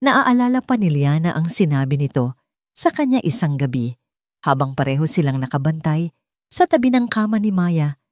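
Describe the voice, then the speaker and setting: Low-pitched woman narrating a dramatic story. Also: sing-song, normal speed, dry recording, studio quality.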